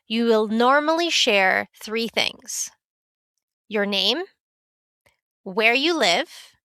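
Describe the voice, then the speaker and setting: A woman teaching a beginner English lesson in a careful, clear, scripted way. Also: fairly high pitch, sharp tone, no room sound, clean studio sound. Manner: clear, careful, instructive delivery.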